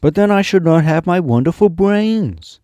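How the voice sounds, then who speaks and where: A man voicing an eager straw scarecrow in a raised, excited voice. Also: medium pitch, moderate pace, no room sound, voice pushed up, close to an everyday voice.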